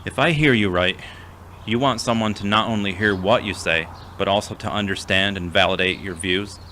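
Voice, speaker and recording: A man playing a gentle, reserved, long-suffering character in an audio drama, with a measured, formal manner. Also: lowish voice, slight background noise. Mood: hesitant, thoughtful. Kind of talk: scripted audio drama acting.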